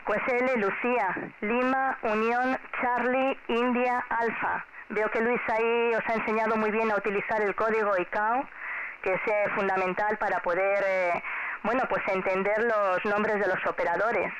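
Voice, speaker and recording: A woman's voice: medium pitch, fairly even intonation, normal speed. A woman with an accent from Spain talking courteously and at length as an amateur radio operator, heard over shortwave radio. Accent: Spanish from Spain. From Galicia, Spain. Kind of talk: ham radio contact, friendly chat with callsigns. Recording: noisy, dull shortwave radio reception.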